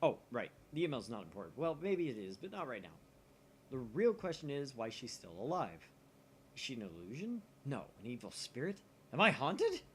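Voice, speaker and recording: A man's voice: mid-range voice, pushed and bright, slight background noise. A man voicing an agitated young self-proclaimed mad scientist in a visual novel, with theatrical, emphatic delivery.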